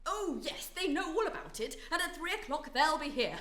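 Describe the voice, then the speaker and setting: A woman's voice: high voice, big pitch swings, normal speed, fairly bright tone. A woman playing a cheerful, breezy local helper in a radio play. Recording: roomy echo.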